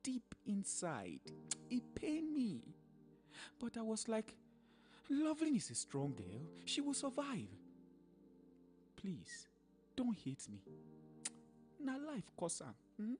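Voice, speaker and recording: Nigerian hustler, dramatically swooping voice. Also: female voice, a little high, huge pitch swings, slow pace, long pauses, darkish tone, faint music behind, heavily acted. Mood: guilty. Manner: theatrical storytelling with Pidgin asides. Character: guilt-torn Nigerian hustler confessing to betraying his lover. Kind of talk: dramatic radio drama monologue.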